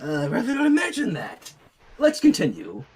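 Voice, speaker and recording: A fan fiction reader narrating a story with casual, irreverent asides in an amused, expressive way. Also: mid-range voice, normal speed, breathy edge.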